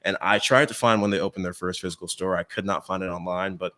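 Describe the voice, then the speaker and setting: Man, deep voice, conversational presenter, chatty, explanatory, casual delivery. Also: fairly even intonation, quick pace, pushed and bright. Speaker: co-host presenting retail trends.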